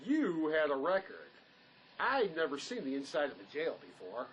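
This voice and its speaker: A man's voice: a little high, wildly swooping pitch, normal speed, a bit bright, muffled recording, slight background noise. A man playing a skeptical, sarcastic fugitive in an audio drama, grumbling sardonically at his partner. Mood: resentful.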